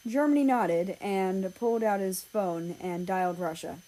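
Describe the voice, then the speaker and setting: A woman narrates a piece of fan fiction, reading from the text. Her pace is slow and measured, and her voice sits at a middle pitch for a woman. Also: mellow, rough recording. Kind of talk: scripted story narration, read aloud.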